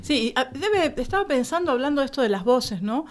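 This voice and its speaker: A woman's voice: high voice, expressive, fairly quick. A woman chatting casually as a panelist on a radio show. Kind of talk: casual chat.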